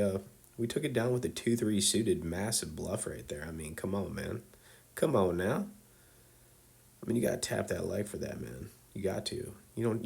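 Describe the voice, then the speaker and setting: Casual low-voiced man with a cold. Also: a little hiss or hum. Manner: loose and conversational, many fillers, chummy asides to viewers. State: has a cold. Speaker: poker video host. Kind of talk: casual hand-review commentary.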